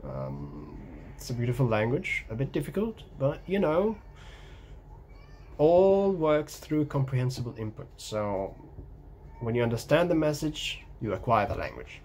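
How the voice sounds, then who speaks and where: A young man with an Egyptian accent, whose first language is Egyptian Arabic, talks to camera in a home vlog, pausing often with ums and restarts. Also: mid-range voice, big pitch swings, darkish tone, slight background noise. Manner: hesitant, many ums and restarts, gestures while talking. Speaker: language-learning vlogger. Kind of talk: casual talking-head vlog. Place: small room at home by a window.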